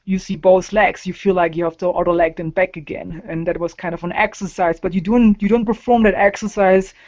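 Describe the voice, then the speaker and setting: A woman with a German accent, a native German speaker, answering interview questions casually in a halting way, often repeating words and using non-native phrasing. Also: on the low side, fairly even intonation, a little mellow, highs cut off. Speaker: interview guest.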